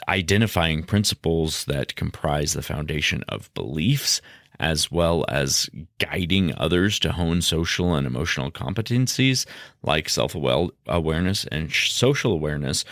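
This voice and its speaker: Very deep-voiced man hosting a podcast. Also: a bit bright, dry recording, clean studio sound. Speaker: podcast host. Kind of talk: solo educational podcast talk, partly scripted.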